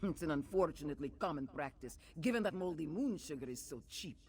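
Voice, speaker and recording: An actor playing a dutiful guard captain in a fantasy game, stern, measured and formal. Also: male voice, on the high side, lively intonation. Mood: grave.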